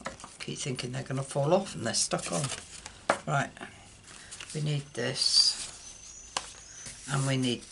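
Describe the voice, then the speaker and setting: An older woman giving an unscripted card-making demonstration, haltingly thinking aloud as she works at a table indoors. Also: rumbling low. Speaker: craft tutorial host. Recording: clear background noise, clicks.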